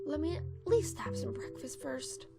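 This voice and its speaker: A young cartoon pony friend played by a woman, easygoing and a bit reluctant. Her voice is high. Soft music sits in the background. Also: lively intonation, normal speed. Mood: groggy, reluctant. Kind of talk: animated fan drama voice acting.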